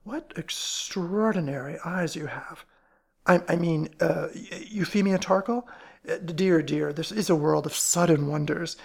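A woman voicing a whimsical, urbane gentleman detective in a storybook reading, with wry, mannered phrasing. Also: deep voice, a little slow, creaky, slightly rough, exaggerated voice. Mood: flustered, whimsical.

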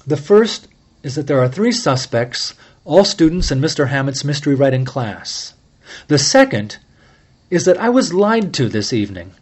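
A calm, formal sleuth who lays out a case with gentle, remorseless precision, as a male narrator plays him. The voice is somewhat raised and evenly measured, a storybook detective in control of the room. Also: fairly high pitch, slightly rough. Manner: measured, mild yet relentless, formal address. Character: calm, incisive middle-aged male amateur sleuth.

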